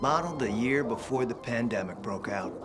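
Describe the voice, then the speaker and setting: A man's voice: medium pitch, animated, normal speed, over music, close to an everyday voice. Man acting a suave, sly smuggler boss. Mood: flirtatious. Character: suave, flirtatious, worldly smuggler boss, sly and self-assured. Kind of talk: video game dialogue acting.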